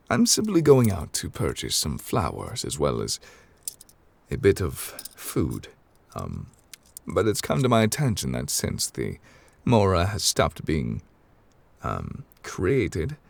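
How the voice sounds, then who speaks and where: A man playing an ancient, courteous, absent-minded god in an ASMR roleplay, speaking formally in a low, gravelly voice with hesitant pauses. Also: no echo, full character voice. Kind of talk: ASMR audio roleplay, improvised in-character monologue. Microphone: close, dry microphone.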